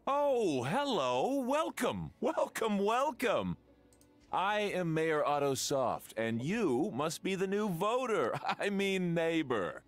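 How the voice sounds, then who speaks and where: A man's voice: high-pitched, sing-song, slow, exaggerated voice. A man voicing an eager, pompous small-town mayor in a video game, effusive and self-important. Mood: effusive, self-satisfied. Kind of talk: video game character acting.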